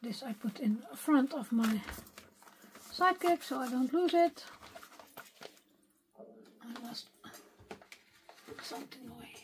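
A Dutch woman speaking English, her voice weak and partly gone, softly thinking aloud as she works through a craft tutorial at her desk. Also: high-pitched, expressive, background noise. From Netherlands. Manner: soft-spoken, unhurried, talks to herself while working. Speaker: craft video maker. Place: craft desk at home, filmed from overhead.

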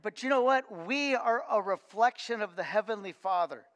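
High-voiced older pastor in a church hall. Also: male voice, lively intonation, normal speed, a bit bright. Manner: earnest, repeats words when stressing a point. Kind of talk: sermon with scripture reading. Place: church stage with lectern.

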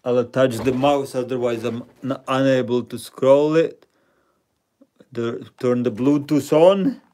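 A man's voice: mid-range voice, a little slow, warm tone. A man, chatting playfully with viewers while he plays a word game on a live stream at home. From Latvia. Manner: playful, self-mocking, reads out chat names and rankings. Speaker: livestream host. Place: indoor room at home.

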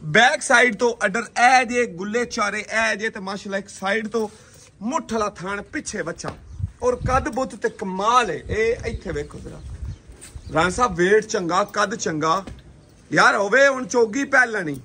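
High, bright-voiced cattle seller. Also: male voice, slight background noise. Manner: brisk, persuasive seller's patter, repeating prices and praise. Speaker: livestock sale video host. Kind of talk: casual sales commentary. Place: outdoor dairy farmyard.

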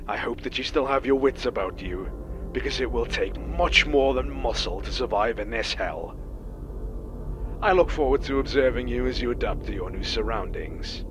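An actor playing a sinister, polite mastermind, speaking formally and theatrically in an audio drama monologue. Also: male voice, mid-range voice, a bit bright. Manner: formal, theatrical, menacingly courteous. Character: mysterious, sinister, polite male mastermind toying with captive.

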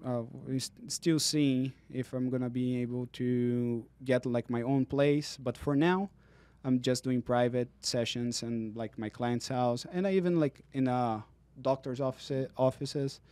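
Man, thirties, physical therapist, earnest explainer, mellow tone, dry studio sound. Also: mid-range voice, steady tone, unhurried, hardly any pauses, smooth, no echo. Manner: earnest, explanatory, non-native phrasing. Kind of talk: explaining in casual interview. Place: dark podcast studio. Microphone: studio mic on boom arm, headphones.

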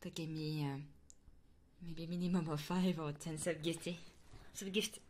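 A woman streamer doing ASMR and chat in a soft, intimate voice that often drops into whispers, close to the microphone. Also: sits low, steady tone, normal speed. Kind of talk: ASMR roleplay and chatting livestream.

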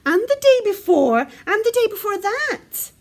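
A woman voicing an eager, excitable little boy bear cub in a storybook, pleading and playful. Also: extremely high pitch, measured pace, pitched above the performer's own voice, full character voice. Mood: insistent. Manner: begging, exclaiming, playful.

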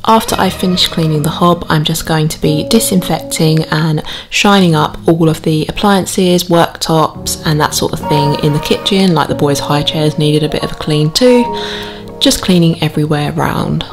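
A woman's voice: low-pitched, moderate pace. A British woman in her thirties giving a casual, chatty voice-over as a home vlogger. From United Kingdom. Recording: voice-over with music behind. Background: background music.

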